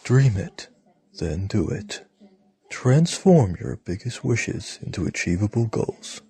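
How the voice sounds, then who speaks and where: A man voicing an intense motivational guru with a raspy voice. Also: fairly low pitch, sing-song, slow pace, dark tone, exaggerated voice. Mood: fervent.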